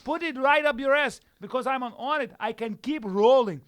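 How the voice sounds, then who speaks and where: A man's voice: very high-pitched, moderate pace, fairly bright tone, clean tone. A man voicing a brash, hot-tempered Brazilian jiu-jitsu fighter in a comic ad read, boastful, repetitive and foul-mouthed, with a put-on Brazilian Portuguese accent. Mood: proud, insistent.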